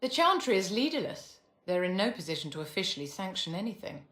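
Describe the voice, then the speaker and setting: An actress playing a poised, aristocratic mage in a video game, speaking in a measured, courtly and haughty manner. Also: female voice, mid-range voice, sing-song, a bit bright. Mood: poised, assured. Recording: reverberant hall with music underneath.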